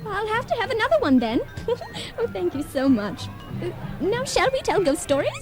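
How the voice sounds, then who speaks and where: Radio drama role: fluttery, affected society dame, extremely high and swooping, over music. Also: female voice, sing-song, normal speed. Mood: peeved. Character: flighty, fussy, tippling society lady, superstitious. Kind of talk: old-time radio play acting.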